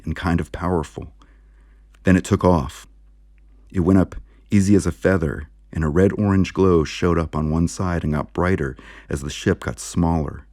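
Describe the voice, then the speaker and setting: A man's voice: sits low, darkish tone, no room sound, studio quality. A man reading a story aloud as a dramatic, scripted audiobook narration. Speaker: audiobook reader.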